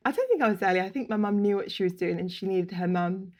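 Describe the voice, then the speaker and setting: A woman, telling her story as a podcast guest, open and quick to laugh, speaking over a video call. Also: medium pitch, a little mellow. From England. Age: 30s. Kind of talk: casual interview chat. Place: home room, indoors.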